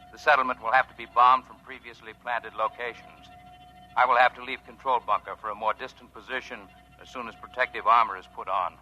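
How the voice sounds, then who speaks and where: A cold, bright-voiced alien commander. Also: male voice, mid-range voice, low-quality recording. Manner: clipped, formal military report. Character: coldly formal alien director reporting to superiors. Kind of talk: 1950s radio drama acting.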